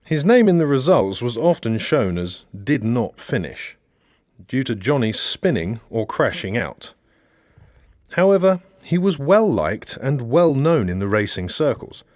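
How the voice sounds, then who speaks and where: A man reading a satirical novel as an audiobook narrator, in a measured storytelling way with expressive emphasis. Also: medium pitch, no room sound, clean studio sound.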